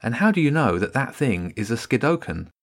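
A man's voice: medium pitch, sing-song, brisk. A man voicing a practical, curious schoolgirl in a storybook reading, raising his voice a little.

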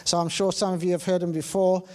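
Hesitant man with a slightly high voice. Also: flat delivery, quick pace. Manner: hesitant, many ums and uhs. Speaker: church leader introducing the speaker. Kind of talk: announcements and spoken prayer. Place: church hall.

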